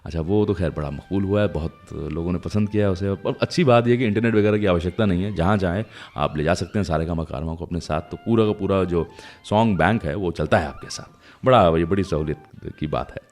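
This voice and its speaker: A man's voice: low-pitched, brisk, darkish tone. A man hosting a music radio show, telling anecdotes conversationally and speaking directly to his listeners. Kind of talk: chatty music radio storytelling.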